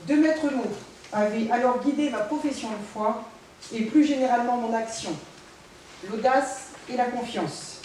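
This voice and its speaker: High-voiced Frenchwoman speechmaking in an echoey hall. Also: dark tone. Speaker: local politician, intercommunal council president. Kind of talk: formal prepared political speech read aloud. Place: large village hall, indoors. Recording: very echoey hall, distant mic, faint noise.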